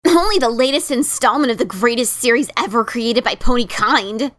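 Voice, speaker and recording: A woman acts out a brash young cartoon pegasus mare, pitched high and full of cocky excitement. She boasts and gushes like a devoted fan in an audio story. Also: fairly quick. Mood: thrilled. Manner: boastful, gushing. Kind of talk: dramatic reading of fan fiction.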